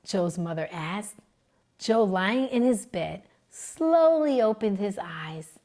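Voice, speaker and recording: A woman librarian reading a children's book aloud, gentle and expressive in her storytelling. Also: medium pitch, slow.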